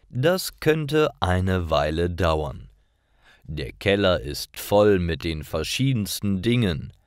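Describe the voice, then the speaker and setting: Very deep, slow male narrator. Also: no room sound, clean studio sound. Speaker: narrator of stories for language learners. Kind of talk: scripted narration, slow read-aloud learner stories.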